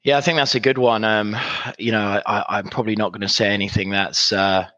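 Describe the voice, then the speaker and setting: A man's voice: lowish voice, fairly even intonation, brisk, pushed and bright, no room sound, highs cut off. A man with a cold, sounding congested, answering interview questions casually with many fillers and repeated words. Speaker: recruitment executive, guest. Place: remote, in Dallas.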